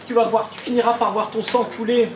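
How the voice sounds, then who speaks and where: A man's voice: very high-pitched, little pitch movement, a little fast. A man battle rapping a cappella, firing insulting punchlines at his opponent. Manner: insulting, punchline-driven delivery. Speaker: battle rapper. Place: event hall. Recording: echoey hall with background noise.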